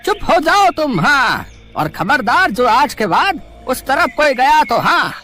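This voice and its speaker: Man playing a high-voiced, very animated village headman. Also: slow pace, faint music behind, full character voice. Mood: scolding. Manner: emphatic, authoritative. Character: stern but fatherly male village headman. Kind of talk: cartoon story acting.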